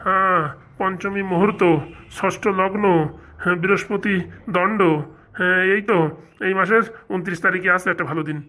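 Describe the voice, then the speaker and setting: A woman's voice: medium pitch, steady tone, normal speed, long pauses, sharp tone, natural voice. A woman playing a fussy, muddled male astrologer priest in a comic cartoon, muttering and repeating himself. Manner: muttering, repetitive, easily muddled.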